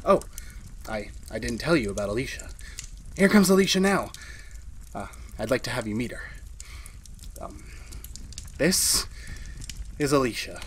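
A woman playing a very low-voiced, slow, nervous husband. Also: very animated, slight background noise, exaggerated voice. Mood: fond, flustered. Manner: hesitant, flustered, confiding. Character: nervous, henpecked husband, a university history teacher. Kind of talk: retro 1940s radio drama, first-person narration.

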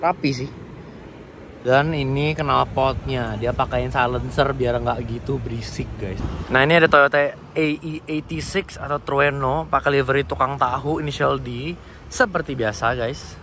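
A man's voice: mid-range voice, fairly even intonation, moderate pace, slight background noise. A man with a Jakarta Indonesian accent giving enthusiastic, casual vlog commentary, mixing slang with English car terms. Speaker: car vlogger. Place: outdoors at a car meetup. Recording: loud music behind, outdoor vlog audio.

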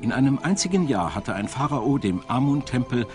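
A man narrating a scripted documentary in a measured, dramatic storytelling manner. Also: mid-range voice, big pitch swings. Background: music.

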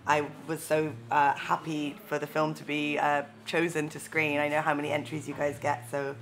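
A woman's voice: low voice, completely flat delivery, moderate pace, a bit bright, slight background noise. A woman, a film director giving thoughtful, earnest interview answers on a lapel mic. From London, England. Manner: thoughtful, earnest, with small restarts. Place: seated indoors in a room with a leather sofa.

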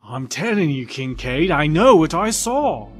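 Actor as earnest, animated spaceship crewman. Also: on the high side, a little slow, soft background music. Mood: insistent. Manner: earnest, emphatic. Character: insistent, loyal, worried male spaceship crewman. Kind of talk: audio drama acting.

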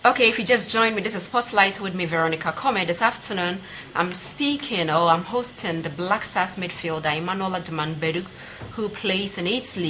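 A woman's voice: fairly low pitch, a bit bright. Studio interviewer, young woman, Ghanaian accent, direct questioning style, faintly noisy sound. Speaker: TV host, interviewer. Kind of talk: conversational sports interview.

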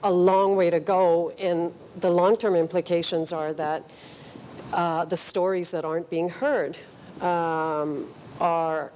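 A middle-aged woman speaking as a panelist in a measured, considered way, feeling for her phrasing, into a handheld microphone on stage. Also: on the low side, fairly even intonation, warm tone, slight background noise. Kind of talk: considered panel discussion talk. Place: stage of a cinema auditorium.

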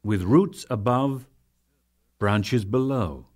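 A man voicing a serene, authoritative god teaching a disciple in solemn, measured oratory, in a dramatic scripture reading. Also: lowish voice, darkish tone.

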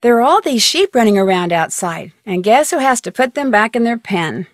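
A young American girl detective in a mystery video game. Her voice is on the high side and recorded dry and close. She talks in a chatty, curious, level-headed way, with clear diction and a wry edge. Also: big pitch swings, normal speed, no echo. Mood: chatty, explaining. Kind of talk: video game voice acting, conversational phone-call dialogue.

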